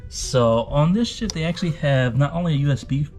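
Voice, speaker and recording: A man's voice: fairly high pitch, animated, brisk, a little mellow. Tired man vlogging over music. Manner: informal, folksy, addresses viewers as y'all. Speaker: travel vlogger and host. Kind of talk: casual cruise ship tour commentary.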